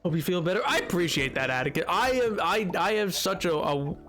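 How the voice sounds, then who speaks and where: Hoarse guy streaming a game, kinda high voice, rambly and excitable, quiet music in the back. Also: brisk. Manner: rambling, excitable, jumps between topics. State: hoarse from a cold. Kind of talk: casual chat with stream chat while gaming.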